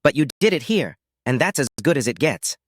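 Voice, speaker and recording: Man playing an angry betrayed husband, animated pitch. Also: brisk. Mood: bitter. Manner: confrontational, sarcastic, forceful.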